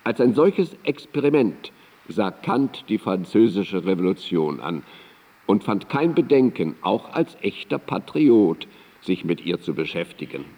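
Radio play role: earnest, admiring companion of a philosopher; low, mellow, lively intonation, eloquent delivery. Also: male voice, measured pace, full character voice. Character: admiring male friend of a philosopher, reminiscing earnestly. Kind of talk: radio drama, formal literary recollection. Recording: long hall-like reverb on cassette.

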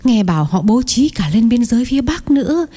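A woman's voice: fairly high pitch, very animated, fairly quick, warm tone. A woman playing a kind-hearted, chatty older village aunt who exclaims and sighs in an audio story. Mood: sympathetic, chatty. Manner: exclaiming, chatty, sighing over misfortunes. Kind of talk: acted dialogue in an audio story.

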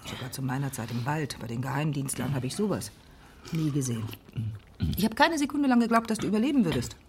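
A seasoned ex-spy turned nurse in a radio play, stern yet motherly. Her voice is very expressive, a little away from the microphone amid some noise. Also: sits low, fast. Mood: caring, dry. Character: tough, caring older female ex-intelligence operative nursing a detective. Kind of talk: noir radio drama acting. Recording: distant mic, noisy, with clicks.

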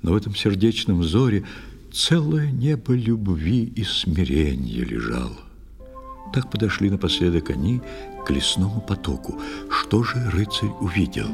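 Deep, animated male verse narrator. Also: vocal fry. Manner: expressive, literary declamation. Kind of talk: scripted dramatic narration of verse.

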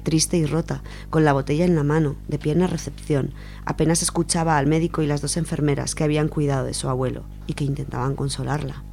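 A woman's voice: low-pitched, fairly even intonation, fast talker, gravelly, dark tone. A woman with an accent from Spain reading a literary short story aloud as a radio storyteller. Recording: music behind the voice.

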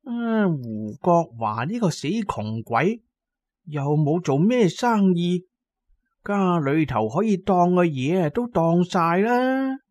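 A man's voice: high-pitched, sing-song, takes it slowly, darkish tone, no room sound, voice pushed up, full character voice. A man voicing a petty, suspicious neighbour who mutters spiteful gossip in a Cantonese audio drama. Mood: suspicious scheming.

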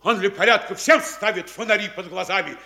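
An actor playing a fretful, scheming middle-aged provincial mayor, muttering to himself and bursting into shouts. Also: male voice, sits high, fairly quick, heavily acted. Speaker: actor in a radio play. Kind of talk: classic stage radio acting.

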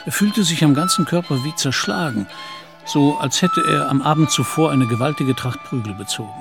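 Measured male storyteller over music. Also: a little high, gravelly. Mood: composed. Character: composed male storyteller of a Parisian novel. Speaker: narrator. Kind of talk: literary radio play narration.

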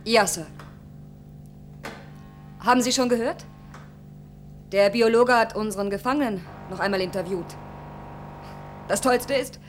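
A woman's voice: fairly high pitch, close to an everyday voice. A woman playing a keen, earnest spaceship crew officer in a science-fiction radio drama. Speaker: voice actor.

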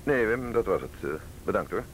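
Low-voiced, probing police detective. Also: male voice, very animated. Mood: probing, polite. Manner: measured, formal questioning. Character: calm, probing, suspicious male police detective. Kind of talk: Dutch radio drama acting. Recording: noisy radio play, vehicle ambience.

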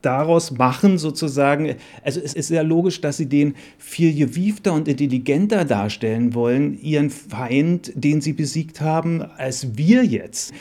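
A man's voice: fairly high pitch, normal speed, hardly any pauses, mellow. A man from Germany giving casual, rambling, somewhat hesitant explanations as an interviewee. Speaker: interviewee on Berlin tunnel history.

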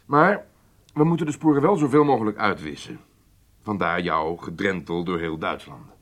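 Intelligence chief for radio drama: low-pitched, measured, authoritative man who briefs his agent methodically. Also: lively intonation. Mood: instructive. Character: commanding intelligence chief, measured, matter-of-fact, middle-aged man. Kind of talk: Dutch radio drama acting.

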